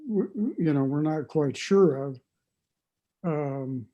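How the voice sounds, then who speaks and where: A man's voice: fairly high pitch, lively intonation, slow pace, muffled recording. A man sharing his reflections in a book discussion, searching for words as he goes. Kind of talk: reflective opinion in group discussion.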